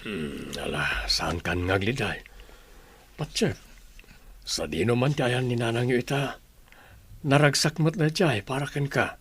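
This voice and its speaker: A man playing a humble, devoted father in a radio drama, with a husky voice and a gentle, expressive manner. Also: medium pitch, a little slow, pauses often. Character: humble, devoted father proud of his children. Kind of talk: Ilocano radio drama acting.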